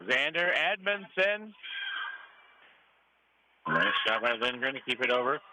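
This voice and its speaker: A man's voice: medium pitch, sing-song, measured pace, sharp tone. A man with an American accent calling a live match as a radio play-by-play announcer. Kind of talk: live sports commentary. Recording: clearly noisy gym ambience behind. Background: gym noise.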